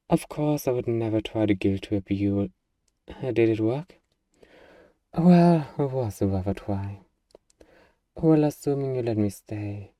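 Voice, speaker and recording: A man's voice: fairly low pitch, dark tone, no echo, distant mic, close to an everyday voice. A man playing a small, eager demon in a comic ASMR roleplay, boastful and self-important yet easily flustered and hesitant. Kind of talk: ASMR fantasy comedy roleplay addressed to listener.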